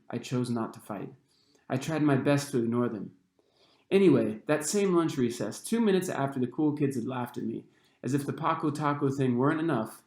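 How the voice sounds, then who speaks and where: A man, the author, reading his own young adult novel aloud with expressive storytelling. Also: medium pitch, reverberant. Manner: expressive storytelling, acting out the dialogue.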